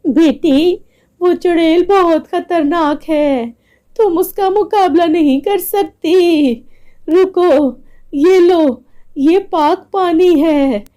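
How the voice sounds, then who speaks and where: Woman playing a kindly old village woman, slow. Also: very high-pitched, dark tone, voice pushed up, heavily acted. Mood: cautioning.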